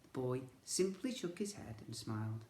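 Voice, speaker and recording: A man's voice: mid-range voice, sing-song, normal speed, dark tone, echoey room, slight background noise. A man narrating a storybook for young children, in the manner of a teacher reading aloud.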